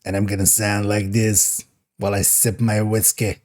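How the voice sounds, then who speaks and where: A man putting on a gravelly chain-smoker's voice. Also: fairly low pitch, flat delivery, normal speed, darkish tone, pitched below the performer's own voice, lightly acted. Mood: mocking. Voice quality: raspy. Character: gravelly chain-smoking whiskey-sipping man.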